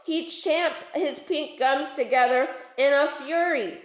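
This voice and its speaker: A woman reading a children's storybook aloud like a teacher, deliberate and expressive with dramatic emphasis. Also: high voice. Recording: roomy, echoing home recording.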